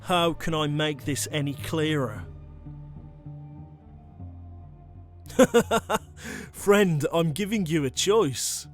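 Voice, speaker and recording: A man's voice: on the high side, slow. A man playing an enigmatic former vampire turned hunter in an audio roleplay, speaking in a coolly conversational, teasing way. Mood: playful menace. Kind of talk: ASMR audio roleplay drama. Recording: music bed behind the voice.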